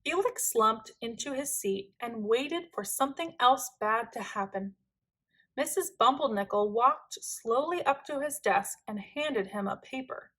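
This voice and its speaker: A woman reading a children's storybook aloud in an expressive, deliberate, dramatic way. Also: a little high. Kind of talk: expressive scripted read-aloud narration for children.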